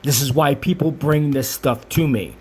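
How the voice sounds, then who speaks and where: A man's voice: mid-range voice, moderate pace, a little mellow, breathy edge. A middle-aged man giving a casual, off-the-cuff explanation in an emphatic, repetitive, boastful way, like a seasoned specialist. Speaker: video tape restoration specialist. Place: television equipment studio.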